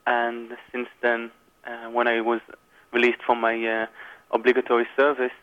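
A young man from Israel in his twenties speaks in a flat, monotone way, pausing often with fillers. His voice comes through a narrow, phone-like line. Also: mid-range voice. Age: 20s. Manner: hesitant, monotone, many fillers. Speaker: guest, reserve army officer. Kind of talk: interview answers.

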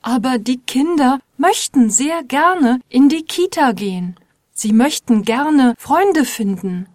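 A woman playing a determined mother in a slow, clearly spoken scripted dialogue. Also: high-pitched, animated, a little mellow, no room sound, studio quality. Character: determined mother wanting to return to work.

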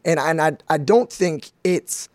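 A man's voice: fairly high pitch, measured pace, darkish tone, no room sound. An American man hosting a casual studio podcast, talking in a blunt, informal way. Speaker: podcast host.

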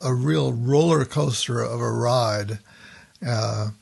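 A man hosting an interview, speaking slowly and reflectively and leaning on fillers. His voice is gravelly with a husky edge. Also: medium pitch, darkish tone. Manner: slow, reflective questioning with frequent fillers. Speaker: interview host. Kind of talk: podcast interview.

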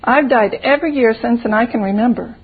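A woman voicing a small, cheerful storybook flower with a thin, soft voice and a folksy old-time country dialect. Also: fairly high pitch, a little fast, slightly rough, phone-quality sound. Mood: cheerful, contented. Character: small cheerful talking flower, laughing at death.

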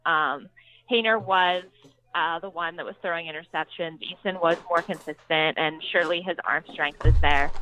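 A woman's voice: fairly low pitch, sharp tone, highs cut off. An American woman, a sports beat writer, giving conversational answers as an interview guest. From Pittsburgh, United States.

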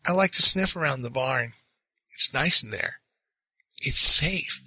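A man voicing a tiny, polite fox of unclear sex in a storybook reading, soft-spoken and well-mannered. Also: mid-range voice, animated, measured pace, husky, dry recording, heavily acted, rough recording. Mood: polite, coaxing.

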